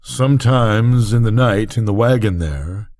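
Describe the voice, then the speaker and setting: A man's voice: fairly low pitch, measured pace, darkish tone, no echo, clean studio sound. An older man reading a story, voicing a polite, plain-spoken travelling tinker with folksy rural grammar. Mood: self-conscious. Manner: folksy, ungrammatical rural speech.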